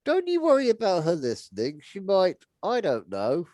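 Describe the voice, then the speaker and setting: Man voicing a smug, callous commander, lively pitch. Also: moderate pace, no echo, clean studio sound. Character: smug, callous male military commander, taunting.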